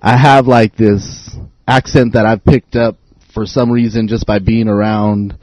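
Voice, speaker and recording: A man's voice: lowish voice, flat delivery, measured pace, darkish tone, no room sound. A man who is a podcast guest and rapper, chatting casually in a rambling, laid-back way with lots of filler words. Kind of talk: casual chat. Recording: dull lo-fi sound.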